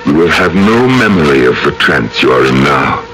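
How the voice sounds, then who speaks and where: A man's voice: rumbling low, very animated, fairly bright tone, a little hiss or hum, exaggerated voice, rough recording. An actor in an old-time radio drama playing a brooding, vengeful man who speaks from his grave with theatrical solemnity. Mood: gentle, earnest. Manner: slow, solemn, theatrical declamation. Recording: old radio broadcast with music underneath.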